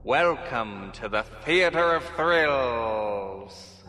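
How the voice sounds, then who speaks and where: A man hosting an old-time radio show with a spooky, theatrical introduction and deadpan, paused punchlines. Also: mid-range voice. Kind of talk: spooky horror-host introduction with deadpan jokes.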